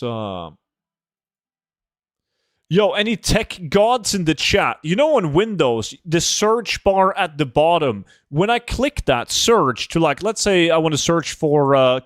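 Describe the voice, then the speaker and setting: A man's voice: on the high side, very animated, takes it slowly, no room sound, studio quality. A man from Norway, chatting casually and bluntly as he livestreams a game, swearing freely. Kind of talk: casual livestream chat while gaming.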